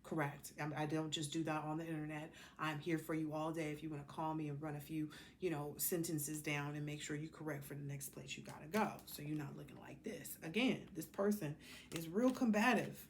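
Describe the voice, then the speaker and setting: A woman's voice: deep voice, steady tone, a little fast. Sassy American woman over background noise. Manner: sassy, blunt, colloquial. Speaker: tarot card reader. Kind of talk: casual, rambling tarot reading monologue.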